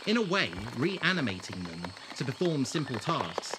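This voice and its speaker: An animated, mid-pitched male time traveller from an audio drama, acted by a man. He is whimsical and know-it-all, delivering wordy, teasing explanations with theatrical flair. There is faint noise behind him. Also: moderate pace, lightly acted. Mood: wry, patient.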